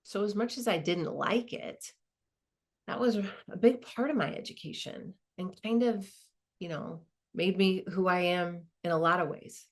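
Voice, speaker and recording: A woman's voice: fairly low pitch, unhurried. A woman playing a reflective, wry lawyer in a conversational stage monologue about her own life. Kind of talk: dramatized first-person monologue, conversational.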